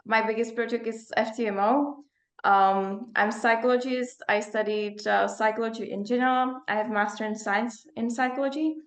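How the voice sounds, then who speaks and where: A woman's voice: fairly high pitch, steady tone, normal speed, reverberant. A young woman from the Czech Republic with a Czech accent, talking casually and discursively as a coach being interviewed. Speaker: performance coach and psychologist.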